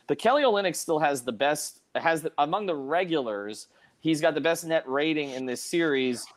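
A man's voice: fairly high pitch, animated. A man hosting an unscripted sports panel, often repeating words as he works toward his point. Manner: halting, repeats words as he thinks. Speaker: host of a sports panel show.

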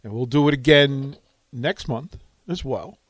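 A man hosts a casual radio show in a middle-pitched voice. He talks conversationally and likes to repeat a phrase to drive it home. The studio sound is dry. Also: a little slow, slightly rough, no room sound. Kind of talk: casual chat.

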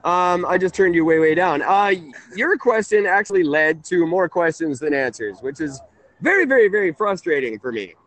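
A man's voice: sits high, lively intonation, moderate pace, a bit bright, poor audio. A man in his thirties hosting a casual call-in radio talk show, rambling and correcting himself. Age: 30s. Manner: rambling, chuckling, self-correcting.

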